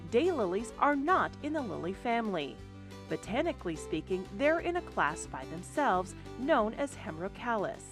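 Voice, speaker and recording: Female voice-over narrator, animated scripted read, faint music bed. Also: medium pitch. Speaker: program narrator. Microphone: studio voice-over mic.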